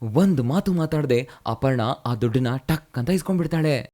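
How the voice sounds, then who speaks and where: A man narrating a moral fairy tale in a brisk, plain storytelling manner. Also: mid-range voice, expressive, dark tone.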